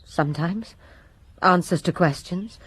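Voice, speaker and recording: A woman's voice: on the low side, measured pace, fairly bright tone, slightly rough. A woman playing a resentful lady's maid who gives her testimony with bitter emphasis in a radio drama.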